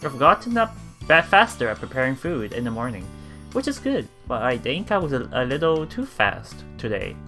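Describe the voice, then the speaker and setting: A man reading visual novel text aloud as a let's play host, with casual commentary and a halting delivery that repeats phrases. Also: medium pitch, sing-song, bright, forceful tone. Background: game music.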